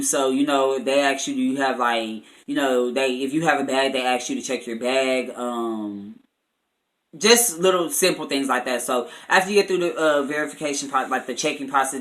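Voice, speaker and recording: Bright-voiced young American man telling a story. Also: mid-range voice, low-quality recording. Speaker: vlogger. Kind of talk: casual storytime chat.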